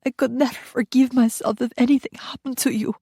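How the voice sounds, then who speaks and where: A woman's voice: a little high, steady tone, fairly quick, dark tone, slightly rough, no echo, clean studio sound, voice pushed up. A woman voicing a distraught nanny, gasping and tearful.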